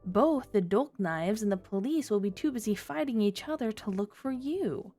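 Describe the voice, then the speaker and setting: Casting: actress as sly female criminal rogue for ASMR roleplay. Flirty, sardonic, drawling, mid-range pitch, music underneath. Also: animated, dark tone. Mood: amused, smug. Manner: teasing, sardonic, drawn-out drawl.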